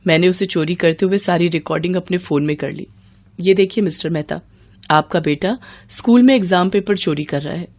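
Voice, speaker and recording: A woman's voice: on the low side, fairly quick, a little mellow. A woman voicing a principled, firm schoolteacher in a cartoon moral story, with an admonishing manner. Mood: accusing, firm. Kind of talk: animated moral story dialogue.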